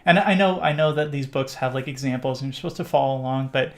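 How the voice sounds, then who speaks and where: Gravelly Canadian man rambling casually. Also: mid-range voice, fast. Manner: rambling with many fillers and restarts. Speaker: livestream host. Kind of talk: casual live Q&A chat.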